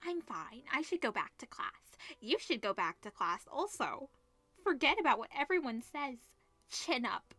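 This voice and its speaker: A woman's voice: high voice, big pitch swings, measured pace, pushed and bright, dry recording, soft background music, pitched above the performer's own voice, heavily acted. A woman voicing a loyal, protective teenage girl, a childhood friend with an earnest, supportive manner in a visual novel. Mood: encouraging.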